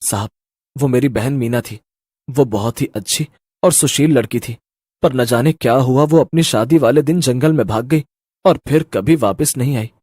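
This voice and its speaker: A man's voice: mid-range voice, normal speed, a little mellow, dry recording, studio quality, voice pushed down, close to an everyday voice. A man voicing a sorrowful village headman in a horror cartoon, earnest and beseeching. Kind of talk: Hindi horror cartoon voice acting.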